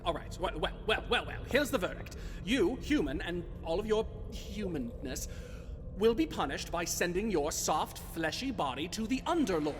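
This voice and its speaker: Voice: mid-range voice, big pitch swings, moderate pace, music in the background, close to an everyday voice. A performer playing a pompous, showy fae judge presiding over a chaotic mock court, prone to flustered stammering, in a fantasy audio drama. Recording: noisy, long echoing hall reverb.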